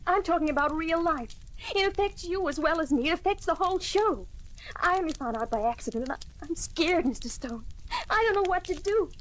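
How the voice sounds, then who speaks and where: A woman's voice: very high-pitched, expressive, fairly quick, low-quality recording. An actress playing a frightened, pleading young woman with an urgent, melodramatic delivery in an old-time radio drama. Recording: old radio recording with faint hiss.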